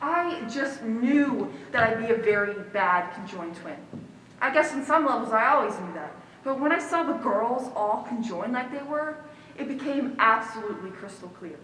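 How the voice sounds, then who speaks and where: A woman's voice: a little high, animated, moderate pace, fairly bright tone. A woman playing a quirky, aggrieved young woman in a comic stage monologue. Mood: earnest, indignant. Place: stage in a hall. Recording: echoey hall from far off, faint noise.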